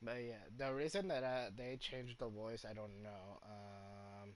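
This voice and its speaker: A man doing casual livestream game commentary, explaining things in a hesitant, halting way and repeating himself. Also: lowish voice, level tone. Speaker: game streamer. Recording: game music behind the voice.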